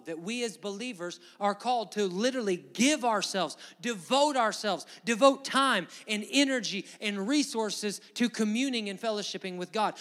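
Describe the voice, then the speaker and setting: A man's voice: sits high, a bit bright. A male pastor with a Southern Alabama accent preaching a fervent sermon to a congregation, emphatic, repetitive and exhorting. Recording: long hall reverb.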